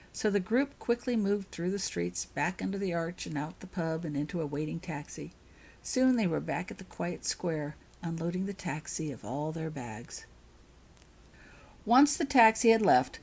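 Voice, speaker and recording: A woman narrates a story in a fairly low voice with a husky grain. Her reading is expressive and shaped like an audiobook. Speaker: author reading own story. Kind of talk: audiobook-style narration of fan fiction.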